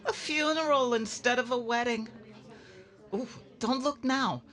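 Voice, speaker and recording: A woman's voice: on the high side, measured pace, fairly bright tone. A woman playing a nosy, callous funeral gossip in a 1930s-style radio drama, chatty and exaggerated. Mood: gossipy.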